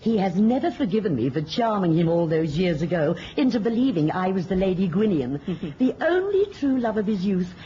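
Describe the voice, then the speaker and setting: An actress in a radio drama playing a cunning, cold, commanding witch mother with a deliberate, imperious delivery. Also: mid-range voice, very animated, dark tone, lightly acted. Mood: scheming, gloating. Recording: muffled radio recording with hiss.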